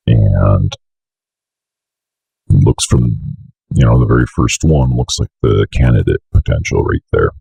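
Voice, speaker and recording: A young man giving a casual, offhand screencast tutorial with some swearing, in a very deep, fry-laden voice close to a desk mic. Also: darkish tone, no room sound. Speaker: tutorial host. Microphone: close desk microphone on an arm.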